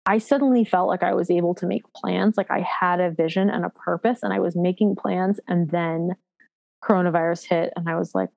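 A woman in her thirties speaks in a lowish voice, recorded close and dry with no room sound. She tells her own story frankly and reflectively, pausing and restarting often, with plenty of fillers and a self-deprecating edge. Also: steady tone, vocal fry, darkish tone, dry recording. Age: 30s. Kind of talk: candid personal storytelling.

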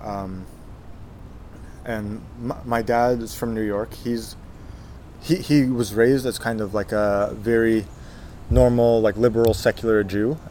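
A man in his twenties from Texas, telling his life story in an interview, halting with many fillers and restarts. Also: on the low side, fairly even intonation, dark tone, slight background noise. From Texas, USA. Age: 20s. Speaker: guest, Zen monk.

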